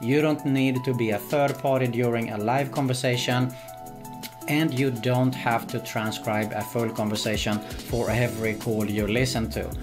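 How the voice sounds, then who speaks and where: A man presents an online how-to in a medium-pitched voice. He has a salesy, repetitive way of walking through each step. Music plays clearly behind him. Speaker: video host. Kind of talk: scripted-sounding online tutorial narration. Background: background music.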